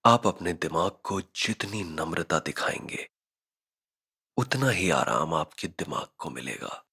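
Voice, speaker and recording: A man narrating a scripted guided meditation, giving measured instructions with frequent pauses. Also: sits low, very animated, creaky, pushed and bright, breathy edge.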